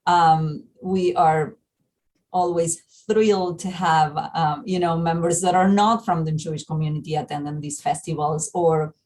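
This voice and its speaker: Guest: Argentine-accented woman, slow, hesitant delivery with fillers, lowish voice. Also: fairly even intonation, a little mellow, a little hiss or hum. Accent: Argentine Spanish. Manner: hesitant, many fillers and restarts. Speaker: festival programming manager. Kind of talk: interview, casual explanation.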